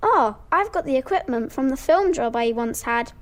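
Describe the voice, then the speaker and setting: A woman's voice: sits high, expressive, normal speed. A young female performer playing a helpful police friend in an amateur radio play. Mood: encouraging.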